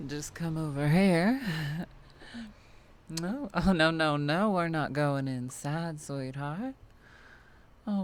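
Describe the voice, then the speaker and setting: A woman performs a tough, playful vampire truck driver with a Southern US accent in an ASMR roleplay. Her voice sits very low for a woman and moves at a leisurely drawl. She teases like a predator toying with prey, lingering between phrases. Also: darkish tone, slight background noise. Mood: coaxing, predatory. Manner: slow, teasing drawl with frequent chuckles and lingering pauses.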